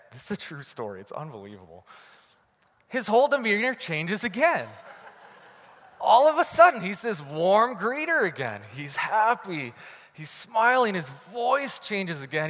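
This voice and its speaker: A Canadian man preaching a sermon from the pulpit, telling a story in a lively, animated way. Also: high voice, long reverb tail. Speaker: pastor.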